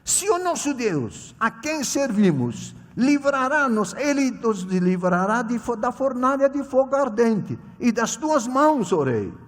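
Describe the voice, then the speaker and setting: An older male pastor, not a native Portuguese speaker, preaching a sermon with a personal story, swinging between loud proclamation and quiet storytelling. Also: very high-pitched, sing-song, unhurried, few pauses. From immigrant to Brazil. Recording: reverberant hall.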